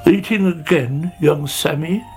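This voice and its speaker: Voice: medium pitch, unhurried, a little mellow, faint music behind, pitched above the performer's own voice, close to an everyday voice. A storyteller voicing a weary, disapproving old male snail in a children's story. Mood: disapproving sigh.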